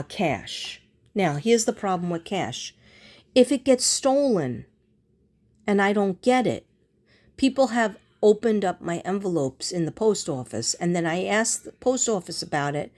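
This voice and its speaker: Slow-talking woman, casual and rambling. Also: medium pitch, darkish tone. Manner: unhurried, meandering, thinking aloud. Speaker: psychic reader and vlogger. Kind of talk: casual rambling vlog talk to viewers.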